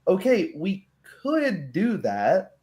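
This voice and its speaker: A man's voice: sits high, wildly swooping pitch, takes it slowly, darkish tone. A man speaking casually as a game master, explaining things through analogies and little acted-out examples. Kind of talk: casual chat.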